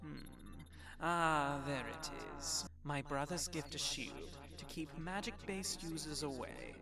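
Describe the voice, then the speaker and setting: A man's voice: fairly high pitch, takes it slowly, a crowd in the background. A man voicing a smug, theatrical trickster god in a fan audio roleplay, with pompous, formal, taunting speech. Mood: amused, scheming.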